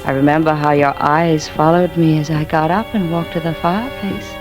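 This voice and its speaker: An actress playing an embittered, intense wife who feigns madness, confessing haltingly in 1940s radio suspense style. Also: female voice, sits low, fairly even intonation, lightly acted, rough recording. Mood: feverish, exultant. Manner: dramatic, halting confessional delivery. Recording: vintage radio recording with music.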